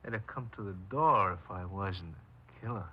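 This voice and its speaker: An actor playing a bitter, paranoid killer giving a halting confession in a 1950s radio crime drama. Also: male voice, sits low, husky. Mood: bitter menace. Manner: halting, brooding, resigned confessional delivery. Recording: dull, noisy 1950s radio recording.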